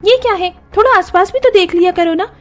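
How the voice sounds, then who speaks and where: A woman voicing an overworked young daughter-in-law who complains indignantly in an animated story. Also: very high-pitched, a little fast, pitched above the performer's own voice. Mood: exasperated.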